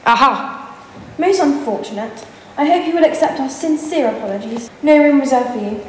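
A woman's voice: high-pitched, moderate pace, reverberant, lightly acted. A woman playing a fussy, officiously apologetic hotel clerk in an amateur radio play. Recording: clearly noisy amateur recording.

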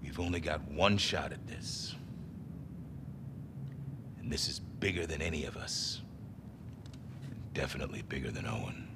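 An actor playing a stern middle-aged militia commander in a game, speaking in a measured, terse, authoritative way. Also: male voice, extremely low pitch, lively intonation, gravelly, background noise, heavily acted. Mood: cold, stern. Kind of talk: naturalistic game cutscene acting.

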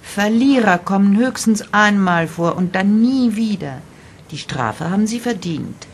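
A woman playing a crisp, even-toned voice. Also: mid-range voice, unhurried, a little hiss or hum. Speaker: radio play speaker. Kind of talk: absurdist radio play reading.

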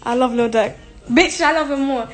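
Young woman, twenties, high-pitched, brash and sweary, casual phone livestream Q&A, light music underneath. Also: moderate pace. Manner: blunt, profane, laughing, reads comments aloud. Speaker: social media personality answering viewer questions. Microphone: phone held close, selfie framing.

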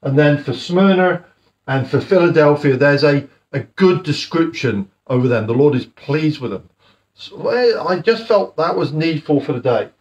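A man in his fifties giving a jokey, animated home sermon as a house church preacher, filmed by a camera across his living room. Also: on the high side, moderate pace. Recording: slightly muffled home video.

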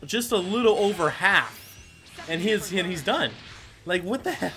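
A man's voice: high voice, animated, moderate pace, pushed and bright, soft background music. A man giving casual, rambling gameplay commentary as the host, self-deprecating and given to mild swearing. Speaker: gaming video host.

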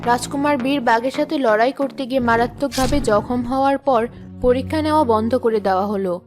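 A woman's voice: a little high, fairly quick, over music, close to an everyday voice. A woman reading scripted storybook narration for a fairy-tale cartoon. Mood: narrating.

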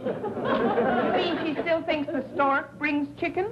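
A woman playing a dry, sardonic middle-aged high-school teacher who wisecracks in a 1950s sitcom. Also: expressive, slow, highs cut off, over a noisy crowd, exaggerated voice. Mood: wry. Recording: noisy vintage soundtrack, audience behind.

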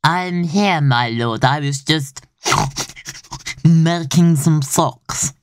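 A teenage boy voicing a small, strange, servile gremlin-like henchman in an audio play, meek and slow. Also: on the high side, smooth. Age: teens.

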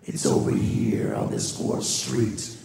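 A man playing a grave, commanding ghost of Christmas future in a radio drama read on stage, speaking slowly and solemnly in a breathy voice. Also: extremely low pitch, huge pitch swings, mellow, exaggerated voice. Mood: ominous, solemn. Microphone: handheld-type stage mic on stand, close. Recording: echoey theatre stage.

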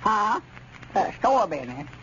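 An actor playing a naive, folksy old rural storekeeper with a Southern country accent in a vintage radio comedy. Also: male voice, high voice, big pitch swings, measured pace, sharp tone, exaggerated voice. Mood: hesitant, surprised. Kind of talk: 1930s radio comedy acting. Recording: muffled, noisy old radio recording.

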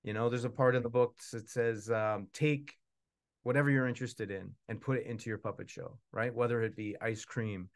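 A man's voice: medium pitch, fairly even intonation, a little fast. A man in his forties chatting casually at a live book launch as a puppeteer and author, rambling and self-deprecating. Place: small indoor room with puppet stage.

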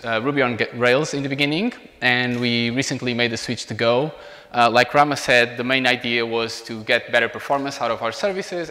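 A man in his thirties with a Portuguese accent, giving an informal technical presentation as a software engineer. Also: medium pitch. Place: indoor meetup room beside a large screen. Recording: reverberant hall sound.

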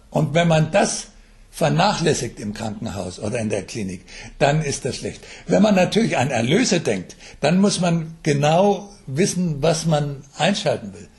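An older man answering interview questions as an expert, explaining in a halting way with English jargon mixed in. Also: medium pitch, creaky, a little mellow. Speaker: mobile health expert being interviewed. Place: telephone interview.

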